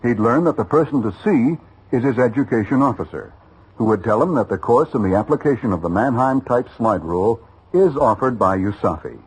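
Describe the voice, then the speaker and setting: An American man with a husky voice delivering a persuasive scripted announcement on vintage radio. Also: mid-range voice, low-quality recording. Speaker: announcer of armed forces education spot. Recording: vintage radio broadcast recording.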